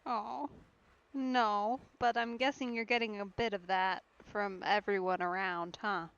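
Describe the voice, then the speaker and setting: A woman giving casual let's play commentary as a streamer, musing gently and hesitantly. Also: mid-range voice, steady tone, measured pace, smooth, lo-fi sound. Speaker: streamer playing a game.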